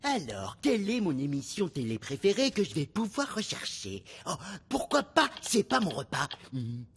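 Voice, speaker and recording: A simple-minded, carefree cartoon slacker, talks casually and naively. His pitch swoops dramatically, and faint music plays behind him. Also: huge pitch swings, normal speed, vocal fry, a bit bright, breathy edge, full character voice. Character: laid-back, simple-minded young male cartoon slacker.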